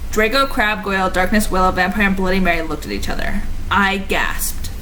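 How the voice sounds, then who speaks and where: A young adult woman reading fan fiction aloud in an irreverent, animated, mocking way. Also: medium pitch, fairly quick. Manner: animated, irreverent, skims with exaggerated repetition. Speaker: reader and presenter. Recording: noisy home recording.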